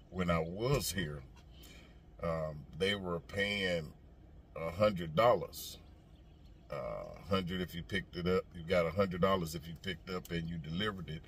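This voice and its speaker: Man, lowish pitch, trucker vlogger, casual opinionated monologue, folksy, repetitive, emphatic, faint noisy ambience. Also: a bit bright.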